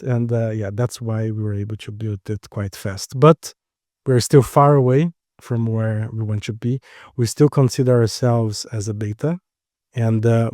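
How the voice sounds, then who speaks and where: A man's voice: medium pitch, warm tone, no echo, studio quality. A man from Brazil with a Brazilian accent, a startup co-founder answering interview questions in short, explanatory phrases.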